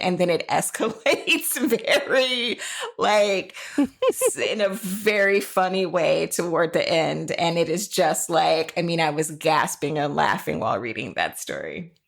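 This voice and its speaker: A woman's voice: lowish voice, vocal fry, fairly bright tone. A woman from Florida co-hosting a podcast, chatting casually and appreciatively about books. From Jacksonville, Florida, United States. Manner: enthusiastic, appreciative. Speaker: podcast co-host. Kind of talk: casual book chat.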